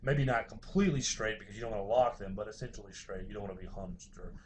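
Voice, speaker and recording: A man's voice: fairly low pitch, fast, a little mellow, a little hiss or hum. A man giving an informal university lecture, explaining things in a hesitant, hedging way.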